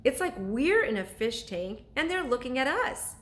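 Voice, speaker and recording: A woman's voice: high-pitched, sing-song, moderate pace, a little hiss or hum, voice pushed up. A woman voicing a studious, skeptical boy adventurer in a children's storybook, in a matter-of-fact manner. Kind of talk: children's storybook read-aloud dialogue.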